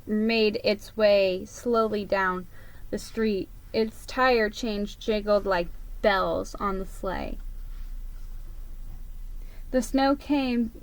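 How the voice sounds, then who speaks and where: A woman reading a children's story aloud as a dramatic reading, with expressive, emphatic storytelling. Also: medium pitch, rough recording. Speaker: storybook reader.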